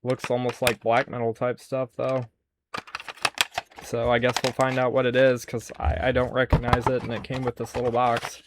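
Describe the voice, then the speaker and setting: A man's voice: medium pitch, completely flat delivery, normal speed, background noise. A man hosting a casual, unscripted show-and-tell of his collection, rambling and musing aloud as he goes. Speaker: host showing a music cassette collection.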